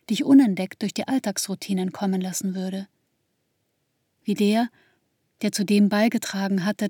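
A woman narrating a radio drama in a measured, restrained way, recounting painful memories intimately in the second person. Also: medium pitch, warm tone, dry recording, close to an everyday voice. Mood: quietly reflective. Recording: dry studio with music underneath.

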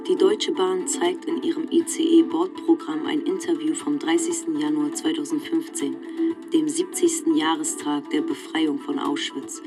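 A woman's voice: low-pitched, natural voice. A woman reading scripted, documentary-style radio-play narration in a factual, report-like manner.

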